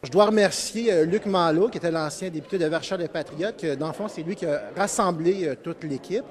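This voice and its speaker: A man's voice: a little high, brisk. A man with a Quebec French accent speaks informally in an interview as a project spokesman, often searching for words.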